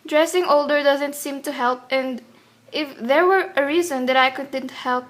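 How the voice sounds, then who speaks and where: High-voiced, gentle young-looking wife. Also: female voice, unhurried. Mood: hurt, pleading. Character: gentle youthful-looking married woman, playful yet anxious. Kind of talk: radio play acting.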